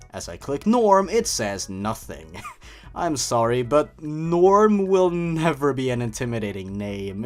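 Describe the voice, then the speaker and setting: A man reading a scary story in a theatrical, mocking way with comic commentary, as the host of a show. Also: mid-range voice. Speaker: host and narrator of a creepypasta review show. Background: music.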